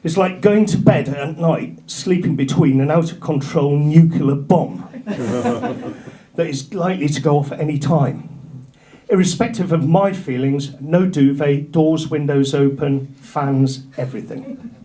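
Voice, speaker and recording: A middle-aged man from North Yorkshire with an English accent, doing a stand-up routine in a comedy club, dropping his punchlines low and dry. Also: measured pace. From North Yorkshire, England. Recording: live club with loud crowd laughter. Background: audience laughter.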